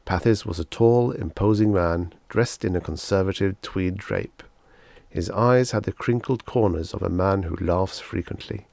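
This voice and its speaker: A man reads fiction aloud as an audiobook narrator. His voice sits low and has a creaky, gravelly grain. The sound is very dry, with hardly any room around it. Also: normal speed, darkish tone, no echo. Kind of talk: scripted story narration.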